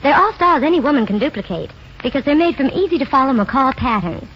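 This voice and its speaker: A woman's voice: high voice, expressive, fast. A woman giving a polished, cheery scripted fashion report in a 1940s radio commercial. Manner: polished, cheery promotional delivery. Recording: muffled 1940s radio broadcast, faint noise.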